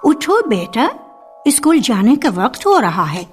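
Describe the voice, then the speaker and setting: A woman acts as a tender, motherly homeowner in a radio drama. Her voice is high, effusive and very animated. Music runs beneath it on an archival recording. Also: measured pace, breathy edge, heavily acted. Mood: tender, doting. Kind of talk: Urdu radio drama acting.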